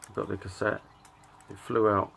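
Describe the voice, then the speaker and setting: A middle-aged man with a lowish, creaky voice presents his collection casually. He speaks in short, halting bursts, offhand and unscripted, reading out titles as he goes. There is some noise in the recording. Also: level tone, fairly bright tone. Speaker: record collector and channel host. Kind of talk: casual show-and-tell commentary.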